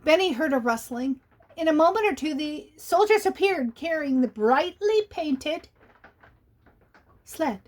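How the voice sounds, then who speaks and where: Slow, high-voiced woman reading a storybook. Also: expressive. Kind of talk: children's picture-book read-aloud.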